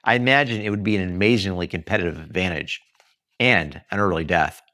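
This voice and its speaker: An American man from Texas reading his own memoir aloud as scripted narration, with dramatic, emphatic delivery and deliberate pauses. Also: low-pitched, pushed and bright, no room sound, clean studio sound. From Texas, United States. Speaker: author reading own book.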